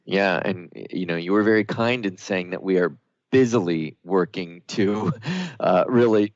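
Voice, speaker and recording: A man's voice: low-pitched, unhurried, no room sound, dull audio. A man hosting a talk radio show in a conversational way, with hesitant phrasing.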